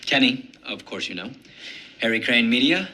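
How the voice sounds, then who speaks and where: A man's voice: mid-range voice, slight background noise. Eager young account man. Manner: brisk, flattering introductions.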